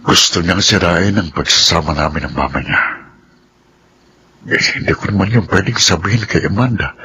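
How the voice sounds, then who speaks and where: A man's voice: sits low, expressive, normal speed, pushed and bright, breathy edge, full character voice. An actor playing a cautious, troubled older stepfather in a Filipino radio drama, with a Filipino accent. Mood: troubled, wary.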